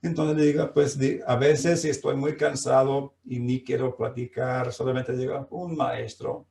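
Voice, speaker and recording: Slow, gravelly man preaching in an echoey room. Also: mid-range voice, level tone, a little mellow. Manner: slow preaching with frequent fillers and restarts. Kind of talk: sermon. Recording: echoey room, mic at a distance.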